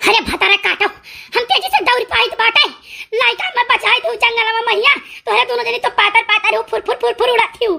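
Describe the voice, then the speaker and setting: A performer voicing a fretful, panicky cartoon village woman with a squeaky high voice and an Awadhi accent, wailing and pleading in comic exclamations. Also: a little fast, vocal fry, sharp tone, full character voice. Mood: breathless complaining. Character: panicky squeaky village women fleeing a tiger, fretful and squabbling. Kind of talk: animated comedy dialogue in cartoon skit.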